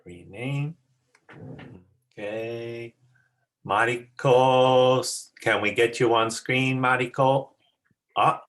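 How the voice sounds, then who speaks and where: A woman's voice: a bass voice, takes it slowly, pauses often. A woman teacher chatting casually as she hosts an online class on a video call, encouraging and often repeating back what others say.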